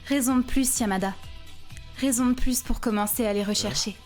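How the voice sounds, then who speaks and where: A woman voices a thoughtful teenage girl in an anime dub. She is composed and persuasive, in a fairly high voice. Light music plays behind her. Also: moderate pace, lightly acted. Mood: gently persuasive. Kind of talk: French anime fan dub acting.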